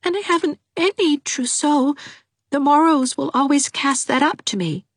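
A woman's voice: normal speed, no echo, studio quality. A woman voicing a timid, tearful young woman who whimpers and stammers. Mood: whimpering. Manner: whimpering, stammering, high and fretful.

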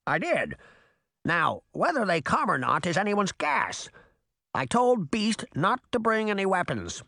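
A man's voice: high voice, wildly swooping pitch, a little slow, creaky, bright, forceful tone, dry recording, clean studio sound, voice pushed up, exaggerated voice. A man voicing an exhausted, wry female crow in a storybook, squawking and sarcastic. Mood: sardonic.

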